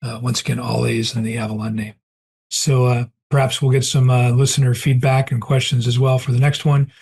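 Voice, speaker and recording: A middle-aged man hosting a podcast and wrapping up the episode in a chatty way, clearing his throat because he is losing his voice. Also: mid-range voice, little pitch movement, brisk, a little mellow. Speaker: podcast host. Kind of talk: casual podcast outro.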